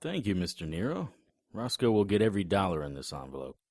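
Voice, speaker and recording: An actor playing a serious, plain-spoken 1930s private detective in a noir audio drama. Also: male voice, low voice, big pitch swings, quick pace, a little mellow, dry recording, heavily acted. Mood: polite, firm. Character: earnest, dutiful 1930s private detective, a man. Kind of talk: noir audio drama acting and first-person narration. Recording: music behind the voice.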